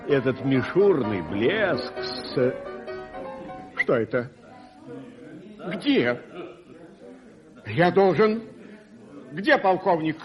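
An actor playing an imperious, hot-tempered wealthy English lord in a radio play, blustering and emphatic. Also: male voice, mid-range voice, muffled recording. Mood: alarmed. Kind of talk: 1980s Soviet radio play acting.

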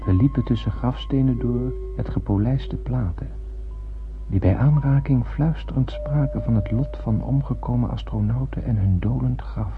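An actor playing an astronaut who narrates a solemn space tale in poetic, measured radio play style. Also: male voice, mid-range voice, music in the background, close to an everyday voice. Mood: solemn, wondering. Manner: poetic, measured literary storytelling. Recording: muffled phone-like old radio recording, noisy.

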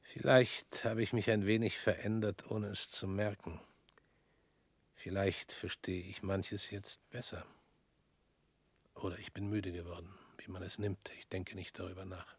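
An actor in a radio play voicing a weary former prosecutor, measured and probing, sometimes dropping to a near whisper. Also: male voice, sits low, fairly even intonation, breathy edge, no room sound, full character voice.

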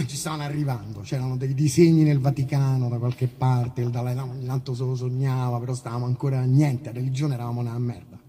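A man's voice: medium pitch, steady tone, quick pace, few pauses, dark tone, slightly rough. A man who performs as a musician, talking casually and self-deprecatingly between songs in rambling, joking stage banter. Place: outdoor festival stage in a park.

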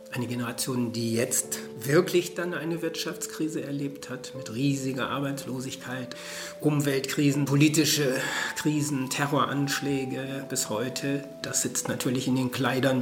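A male professor and education researcher explaining his ideas in a thoughtful, measured interview. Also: mid-range voice. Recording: roomy echo.

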